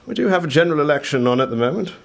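An actor playing an earnest, silly leader of a fringe party in an audio comedy drama, deadpan and slightly hesitant. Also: male voice, on the high side, rapid-fire, gravelly.

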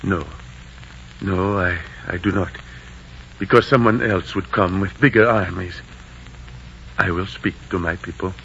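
An actor playing a proud, sorrowful captive Aztec emperor, speaking formally in a 1940s radio drama. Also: male voice, low-pitched, fairly even intonation, measured pace, pauses often, fairly bright tone. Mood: resigned, solemn. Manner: formal, declamatory. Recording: noisy, dull 1940s radio recording.

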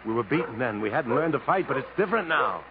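An actor playing a determined, protective adventurer in an earnest, urgent, dramatic 1950s radio style. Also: male voice, medium pitch, lively intonation, quick pace, natural voice. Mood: urgent, hopeful. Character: determined, protective English adventurer stranded in the jungle. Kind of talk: 1950s American radio drama acting, with first-person narration. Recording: muffled, noisy 1950s radio broadcast.

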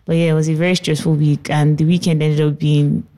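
Low-voiced woman, playful podcast co-host. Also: flat delivery, warm tone. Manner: playful, teasing, quick banter. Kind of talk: casual chat.

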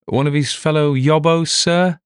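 A man's voice: a little high, steady tone, unhurried, clear, resonant tone, no room sound, studio quality, voice pushed up, lightly acted. A man voicing a polite, long-suffering young police sergeant who speaks carefully. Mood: earnest.

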